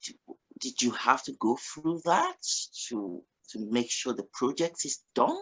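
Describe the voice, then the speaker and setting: A man's voice: mid-range voice, wildly swooping pitch, unhurried, rough recording. A man hosting a radio interview, asking loose rambling questions and reacting to the answers. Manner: rambling, restarts questions, warm laughs. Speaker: radio show host. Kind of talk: casual interview chat.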